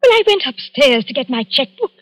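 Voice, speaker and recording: High-voiced, gracious titled lady. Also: sing-song, brisk, no echo, rough recording. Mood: distressed. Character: gracious, sensitive upper-class titled English lady. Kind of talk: old-time radio drama acting.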